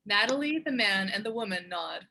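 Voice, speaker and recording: A woman narrates scripted action for a table read. Her medium-pitched voice is bright and forceful, with a husky edge. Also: lively intonation, normal speed. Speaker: narrator and show co-creator. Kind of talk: scripted stage-direction narration.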